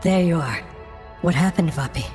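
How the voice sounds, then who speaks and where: An actress plays a young woman in a fantasy audio drama, frightened and pleading as she searches for her master. Her voice rings with long reverb, and music plays clearly behind her. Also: fairly low pitch, animated, moderate pace, darkish tone, lightly acted. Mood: anxious.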